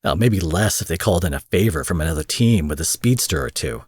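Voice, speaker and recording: A man's voice: low voice, animated, brisk, slightly rough, no room sound, studio quality. A man playing an angry, menacing supervillain in an audio drama, profane, sarcastic and theatrical, taunting with mock affection. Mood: smug, taunting. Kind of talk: roleplay audio drama monologue.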